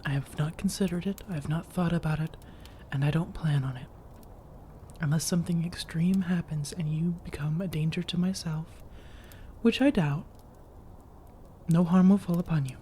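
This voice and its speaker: A woman playing a seductive, teasing vampire roommate in soft-spoken ASMR roleplay, coaxing and reassuring, often drifting into whispers. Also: sits low, moderate pace, slight background noise, close to an everyday voice. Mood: calm, reassuring.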